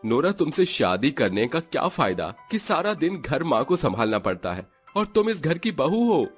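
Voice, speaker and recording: A man's voice: a little high, very animated, normal speed, husky. A man playing a frustrated young husband in a cartoon story, complaining and reproachful. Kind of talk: Hindi cartoon story character acting. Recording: music under the voice.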